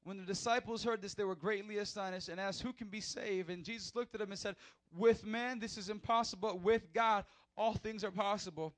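A man preaching a sermon as pastor in a church, animated, acting out short skits in other voices. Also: high voice, fairly quick, few pauses, no room sound, low-quality recording.

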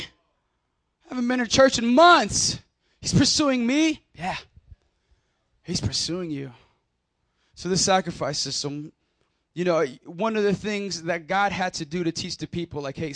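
Man preacher, high voice, casual and emphatic, close dry sound, calls for repeat-after-me. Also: a bit bright, no room sound. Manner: casual, emphatic preaching with slang and call-and-response. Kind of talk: sermon. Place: conference hall.

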